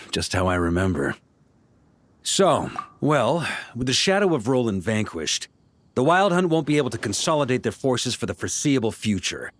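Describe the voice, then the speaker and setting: An actor playing a seasoned, easygoing knight grandmaster in a video game, speaking in a laid-back, wry way. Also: male voice, medium pitch, slight background noise, natural voice. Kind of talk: video game character dialogue.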